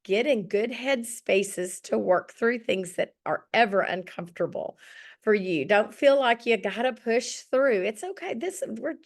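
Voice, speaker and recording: A woman's voice: a little high, animated, a little slow. A middle-aged woman with a slightly scratchy voice, leading an online journaling session in a chatty, encouraging way over a video call. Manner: chatty and encouraging, with many ums. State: slightly scratchy voice after illness. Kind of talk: casual online group session.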